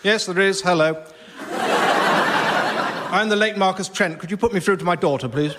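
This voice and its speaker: A man's voice: sits high, normal speed, heavy background noise, lightly acted. An actor playing the fussy, indignant ghost of a dead father with a British accent, in radio sketch comedy. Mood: impatient. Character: exasperated, pedantic ghost of a late father.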